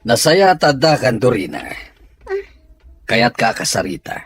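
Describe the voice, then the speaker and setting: A man plays a stern middle-aged father in an audio drama. He has a rough, husky voice with big pitch swings and an Ilocano accent, over music. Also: very animated, a little slow, fairly bright tone, exaggerated voice.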